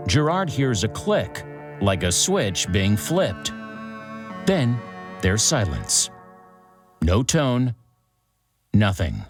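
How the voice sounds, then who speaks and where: Low-voiced, dramatic crime show host. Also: male voice. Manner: dramatic, deliberate short sentences with suspenseful pauses. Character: theatrical true-crime show host, wry storyteller. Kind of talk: scripted true-crime narration. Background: music.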